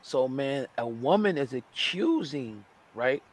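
A hoarse man hosting a hip hop news channel, recapping a story in a chatty way and speaking straight to his viewers. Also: medium pitch, expressive, takes it slowly. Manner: chatty, addresses viewers directly.

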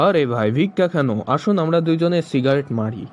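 A man's voice: on the high side, expressive, brisk, lightly acted. A man playing a pushy, chummy, coaxing fellow in a cartoon joke skit.

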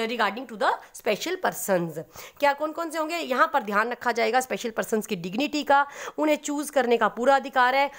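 A young woman teacher explaining handwritten notes, mixing Hindi and English, reading dates slowly with many fillers. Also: high-pitched. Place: indoors at a desk. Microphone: phone camera over notebook.

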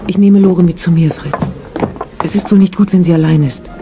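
A woman acts a kind, curious young theatre player in a radio drama. She is gentle and a little tentative. Clear background noise surrounds her voice. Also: lowish voice, steady tone, a little fast, close to an everyday voice. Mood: caring. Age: young adult.

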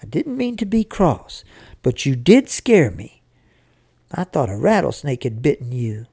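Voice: medium pitch, big pitch swings, a little slow, vocal fry, dark tone, breathy edge, heavily acted. A narrator voicing an anxious, fiercely protective farm mother in a storybook, emotional and fretting. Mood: relieved, worried.

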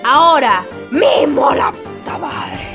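A woman's voice: sits high, lively intonation, slow pace, over music. A woman with an Argentine accent voicing a hot-tempered, menacing armored fish-woman warrior in a parody cartoon, aggressive and full of swearing. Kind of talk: fan parody cartoon voice acting.

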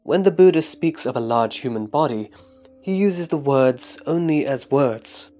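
Disciple, male actor: respectful scripture replies, measured, medium pitch, light music bed. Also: lively intonation, darkish tone, natural voice. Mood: reverent, assured. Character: Subhuti, reverent male disciple answering his master. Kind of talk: scripted scripture dialogue recitation.

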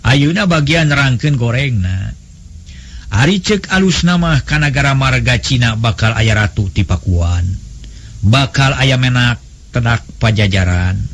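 A man voicing a calm, patient elder brother, a wise nobleman with a Sundanese accent, soothing and advising in a storybook tale. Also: mid-range voice, measured pace.

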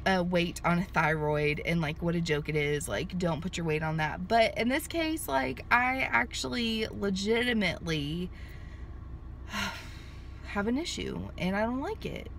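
A woman's voice: medium pitch, animated, unhurried, a bit bright. Woman rambling on a vlog from her parked car, phone held out, faint car hum behind. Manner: chatty and rambling, with many fillers and restarts. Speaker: vlogger. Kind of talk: casual chat to camera. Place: parked car in a sunny lot. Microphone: handheld phone at arm's length.

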